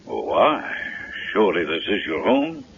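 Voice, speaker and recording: A man's voice: sits low, wildly swooping pitch, measured pace, vocal fry, a bit bright, full character voice. An actor playing a grieving, obsessive middle-aged father with formal, ornate phrasing in a 1970s radio drama. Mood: brooding. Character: grieving, obsessive widowed father, a reclusive doctor. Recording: dull lo-fi vintage radio recording.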